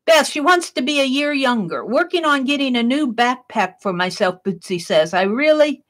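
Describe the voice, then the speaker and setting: A middle-aged woman hosting an art livestream, chatting casually with a loud, projected voice while she works and reads chat comments. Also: high-pitched, expressive, moderate pace.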